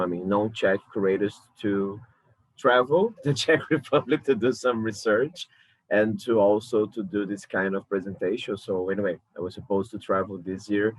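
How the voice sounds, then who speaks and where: A man's voice: on the low side, no room sound, poor audio. A man in his thirties from Brazil with a Brazilian Portuguese accent, speaking English as a second language in a recorded video talk from his apartment, thoughtful and often correcting himself. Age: 30s. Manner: thoughtful, self-correcting, frequent hesitations. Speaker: curator and lecturer. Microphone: camera mic.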